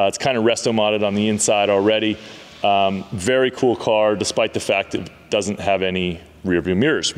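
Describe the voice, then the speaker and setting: A man in his thirties casually telling a story to camera in a large car garage, relaxed and chatty with many fillers. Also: fairly low pitch. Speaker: car dealership owner and channel host. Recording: reverberant garage hall.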